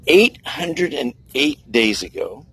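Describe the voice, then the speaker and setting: A man's voice: medium pitch, sing-song, takes it slowly, vocal fry, fairly bright tone, slightly rough, poor audio. A man in his sixties talking earnestly to camera outdoors by the sea, preaching kindness with emphatic swings in his voice. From United States. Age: 60s. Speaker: kindness vlogger. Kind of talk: outdoor personal monologue.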